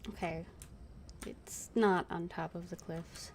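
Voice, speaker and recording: Low-voiced woman casually streaming a game. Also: fairly even intonation, takes it slowly, vocal fry, darkish tone, a little hiss or hum. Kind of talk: casual live gameplay commentary.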